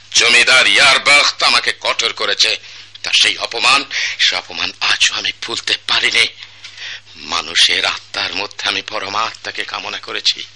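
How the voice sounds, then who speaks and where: A man's voice: fairly low pitch, bright, forceful tone, full character voice. A Bangladeshi man playing a stern, embittered music master and father in theatrical radio drama, speaking emphatically and repeating his phrases.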